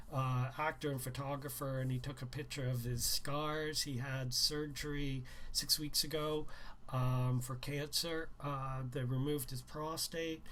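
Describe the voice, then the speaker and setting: A man's voice: on the high side, fairly bright tone, a little hiss or hum. A man doing an informal live reading of his young adult novel as its author, a little hesitant when chatting. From Canada. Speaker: author reading own book aloud.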